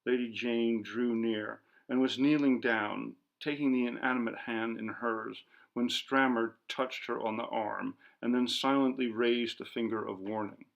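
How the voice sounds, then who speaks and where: A man narrating a literary ghost story as an audiobook, in a measured, dramatic manner. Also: medium pitch, fairly even intonation, vocal fry, muffled recording.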